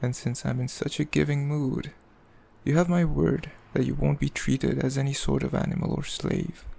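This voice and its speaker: A man playing a cruel, possessive demon king in an ASMR roleplay, speaking in a haughty, mocking, measured way with a gravelly voice. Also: medium pitch, little pitch movement, mellow. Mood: possessive amusement. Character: cruel, possessive male demon king, coldly amused, condescending. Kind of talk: ASMR roleplay, slow intimate villain monologue.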